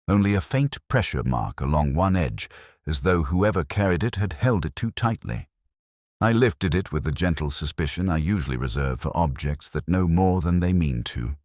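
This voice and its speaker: Guy playing a brooding english detective telling his case, super deep voice, solemn n measured, dry studio sound. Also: dry recording, exaggerated voice. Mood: brooding, reflective. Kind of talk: scripted first-person mystery narration, literary.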